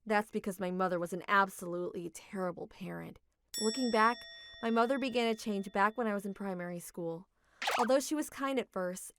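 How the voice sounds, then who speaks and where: A young adult woman narrating a manga dub story in a steady, reflective reading. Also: medium pitch, background noise.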